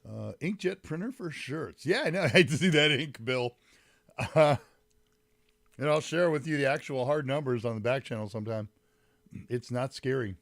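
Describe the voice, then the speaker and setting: A man's voice: mid-range voice, expressive, moderate pace, a bit bright, no room sound, studio quality. Man hosting a tech livestream with a cold. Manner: rambling, greets chat viewers by name, frequent fillers. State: slightly deep, congested voice from a summer cold. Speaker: livestream host. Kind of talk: casual live-stream chat with viewers. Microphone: mic set some distance away.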